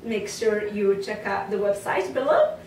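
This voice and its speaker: A woman's voice: medium pitch, animated, a little slow, darkish tone, a little hiss or hum. A woman from Morocco, a belly dance teacher, talking casually to camera with lots of fillers and asides. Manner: chatty, many fillers and asides. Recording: roomy sound from a distant mic.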